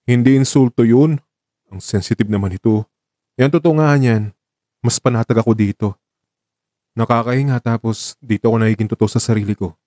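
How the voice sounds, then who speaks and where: A man playing a guarded young professional warming to his lover in a radio drama, talking in a casual, reticent way. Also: medium pitch, a little fast, pauses often, no room sound, clean studio sound, lightly acted. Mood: open, affectionate. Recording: voice over background music.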